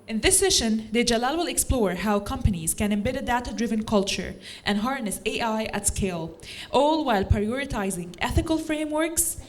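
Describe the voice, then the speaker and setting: A woman introducing the next speaker at a conference, reading a prepared introduction smoothly. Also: a little high, lively intonation, normal speed. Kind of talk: scripted introduction. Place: conference stage.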